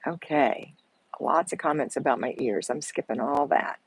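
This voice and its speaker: A soft-spoken woman, hosting a casual live chat and answering viewers like a chatty, motherly coach who calls them honey. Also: low voice, lively intonation, normal speed, slightly rough, rough recording. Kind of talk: casual live-stream chat reading comments. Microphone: earbuds with mic.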